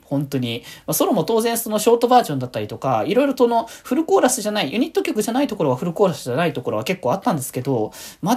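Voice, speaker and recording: A woman with a husky voice chatting casually on her own as a podcast host, rambling with excited fan enthusiasm. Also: on the low side. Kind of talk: casual solo fan chat.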